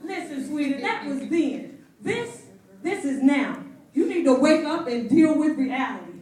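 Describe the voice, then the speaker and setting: Actress as sassy best friend: blunt, scolding, protective, drawn-out emphatic phrasing, high voice; live stage play in echoey hall. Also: female voice, expressive, a little mellow, far from the mic, a little hiss or hum. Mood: sardonic, schooling. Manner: emphatic, drawn-out, sardonic, preacherly flourishes.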